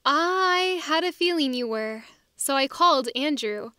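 Bright, high-pitched bubbly teen girl played by a woman. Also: expressive, a little slow, dry recording, studio quality, heavily acted. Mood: curious, chatty. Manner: exclamatory, chirpy. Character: bubbly, energetic, curious teenage girl. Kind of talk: audiobook drama acting.

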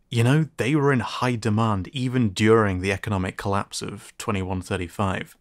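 A man's voice: lowish voice, fairly even intonation, moderate pace, close to an everyday voice. An actor playing a friendly, teasing sci-fi repairman in an ASMR roleplay, with a casual, joking manner.

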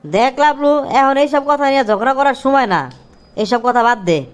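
A woman voicing a bossy, sharp-tongued village relative in a comic cartoon, scolding colloquially with a rural Bangladeshi accent. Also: high voice, expressive, fairly quick, clean tone. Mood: urging. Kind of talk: comic Bengali cartoon dialogue.

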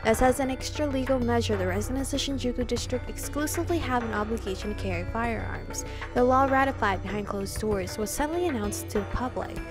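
A woman's voice: on the high side, a little fast, few pauses. A young adult woman streaming a visual novel, reading the text aloud with casual, slangy reactions. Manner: informal slangy asides. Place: indoor room, webcam setup. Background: game music.

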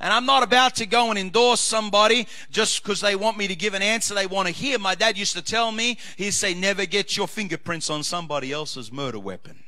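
A man preaching as pastor, reading scripture and swinging between loud shouted climaxes and quieter asides. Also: high-pitched, fairly quick, few pauses. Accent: Australian. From Australia.

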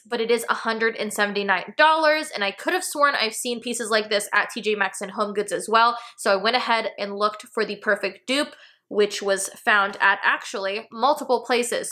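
A bright, forward voice from an American woman in her thirties, pitched a little high. She presents decor products in a casual video style, speaking with enthusiasm and clear emphasis. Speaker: home decor video host. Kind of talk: casual product review to camera. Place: small furnished room indoors.

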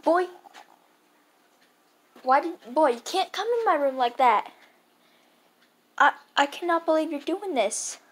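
A young performer playing a grumpy, tired father who scolds his son in a homemade skit, gruff and repeating his orders. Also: high voice, expressive, takes it slowly, pauses often, fairly bright tone, heavily acted.

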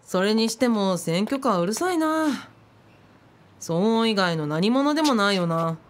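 A woman's voice: medium pitch, animated, unhurried, lightly acted. A woman playing an opinionated, blunt eighteen-year-old youngster in a radio drama. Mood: indignant. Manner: blunt, grumbling.

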